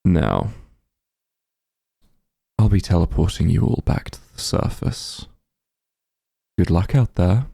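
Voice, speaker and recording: A smug, possessive demon lord played by a man for a fantasy ASMR roleplay. The voice is low and very dark, thick with gravelly fry. He speaks without hurry, softly commanding and condescending. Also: sing-song, takes it slowly, long pauses, dry recording, heavily acted. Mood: pleased, possessive. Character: powerful demon lord, calm, smug, possessive, bargaining villain.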